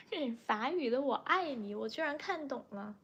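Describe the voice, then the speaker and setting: Casting: young female livestream host, native Mandarin speaker with Chinese accent, somewhat high pitch, slow informal delivery. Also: lively intonation, breathy edge. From Chongqing, China. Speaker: livestream host, language teacher. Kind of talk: casual livestream chat, replying to viewers.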